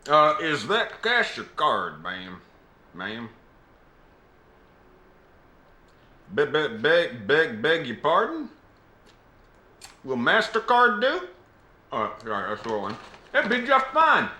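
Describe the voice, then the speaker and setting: A man's voice: a little high, wildly swooping pitch, slow, bright, forceful tone, full character voice. A man playing a polite, flustered Southern American war veteran in comic dialogue, with a courteous, hesitant manner. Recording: echoey, roomy sound.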